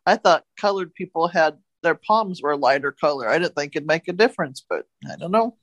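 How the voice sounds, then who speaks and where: A woman's voice: deep voice, lively intonation, a little fast, no room sound. A woman co-hosting a podcast in casual, joking conversation. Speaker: podcast co-host.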